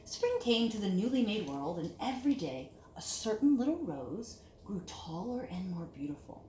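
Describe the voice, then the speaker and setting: A woman's voice: mid-range voice, big pitch swings, moderate pace, dark tone. Echoey woman reading a children's story. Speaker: storyteller reading a picture book aloud to children. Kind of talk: expressive children's story read-aloud. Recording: echoey room with clear background hiss.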